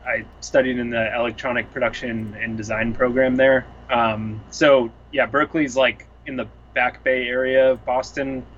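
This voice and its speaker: A man's voice: mid-range voice, fairly bright tone, lo-fi sound, a little hiss or hum. A young man from the Seattle area, a music producer guest chatting casually on a couch at home, rambling thoughtfully with lots of fillers. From Seattle area, US Pacific Northwest. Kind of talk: casual chat.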